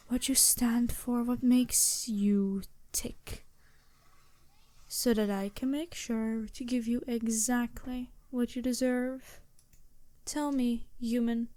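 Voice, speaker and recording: Slow, soft-toned regal demon princess, played by a woman. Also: on the high side, no room sound. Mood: probing, teasing. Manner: deliberate, softly commanding, measured. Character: regal female demon princess, poised, imperious yet compassionate. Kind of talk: intimate ASMR roleplay.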